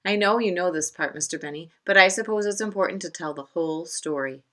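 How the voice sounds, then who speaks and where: A woman's voice: fairly low pitch, fast talker, pushed and bright. A woman voicing an earnest fifth-grade student confiding in a teacher in a storybook, chatty in manner.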